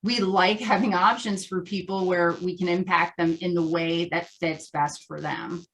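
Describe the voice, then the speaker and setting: Woman guest in an interview, kinda low voice, earnest, explains stuff like a business founder. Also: steady tone, moderate pace, low-quality recording. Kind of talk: conversational interview answer.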